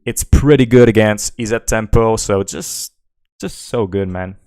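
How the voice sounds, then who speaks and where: A man's voice: lowish voice, a little slow, darkish tone, no room sound. A French Canadian man whose first language is French, streaming a card game and thinking aloud while answering chat questions. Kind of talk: live gameplay commentary.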